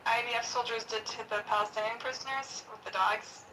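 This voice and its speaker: A woman on a live audio chat, laid-back, arguing back calmly and laughing easily. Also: fairly high pitch, a little fast, recorded from a distance, noisy background. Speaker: chat guest. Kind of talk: casual chat.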